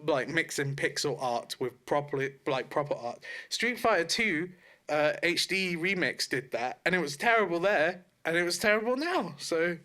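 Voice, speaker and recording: A man's voice: a little high, normal speed, bright, forceful tone. A British man co-hosting a casual gaming podcast, talking in a slangy, sweary, emphatic way. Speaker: podcast co-host.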